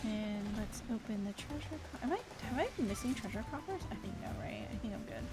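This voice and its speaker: A woman livestreaming a game with casual commentary, talking to herself in short, halting phrases in a playfully dramatic way, with game music behind her. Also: medium pitch, expressive. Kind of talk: casual live gameplay commentary.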